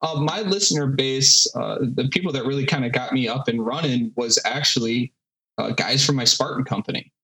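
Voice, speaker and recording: Thirties male podcaster, bright, middle pitch, halting and self-deprecating. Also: low-quality recording. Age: 30s. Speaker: solo podcast host, guest. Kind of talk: casual podcast chat.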